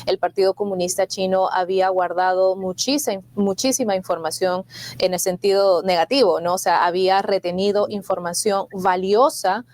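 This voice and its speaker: A woman's voice: mid-range voice, normal speed, slight background noise. A woman host from Peru with a Peruvian Spanish accent, talking through an interview with frequent "eh" fillers. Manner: many filler "eh"s, even delivery. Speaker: show host. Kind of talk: interview conversation.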